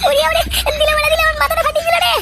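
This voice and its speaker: An actor voicing a cheeky, foul-mouthed village lad in a comic cartoon, with squeaky pitched-up speech and a crude, indignant, slangy manner. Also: flat delivery, racing, vocal fry, sharp tone, slight background noise, heavily acted. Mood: startled complaint. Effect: pitch-shifted up. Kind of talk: exaggerated cartoon dialogue.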